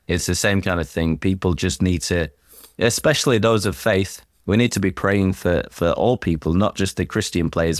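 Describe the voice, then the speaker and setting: A man's voice: sits low, no room sound, studio quality. A man speaking as an interview guest, a sports chaplain, in a conversational style.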